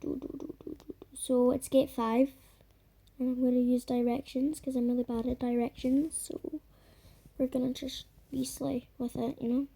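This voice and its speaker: Woman gamer, croaky, high and soft-toned, loose filler-laden commentary. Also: level tone, moderate pace. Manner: rambling, filler-heavy, trails off into murmurs. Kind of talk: casual gameplay commentary.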